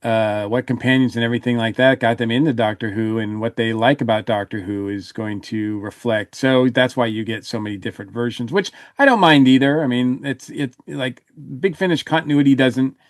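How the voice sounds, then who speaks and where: A man's voice: medium pitch, moderate pace, no echo, clean studio sound. A man in a casual panel discussion, talking as a podcast reviewer in a rambling way, often trailing off and rephrasing.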